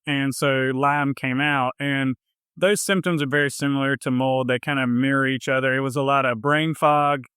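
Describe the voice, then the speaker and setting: A man with a Southern US accent telling his personal story in a casual interview, informal and a little halting in his phrasing. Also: medium pitch, fairly bright tone, clear, resonant tone, no echo, studio quality. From southern United States. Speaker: health coach, podcast guest.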